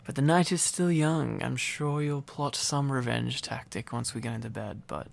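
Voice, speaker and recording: A man's voice: medium pitch, normal speed, gravelly, close to an everyday voice. A man playing a needy, silly, affectionate boyfriend in an intimate ASMR roleplay, rambling and teasing playfully. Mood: tender, teasing.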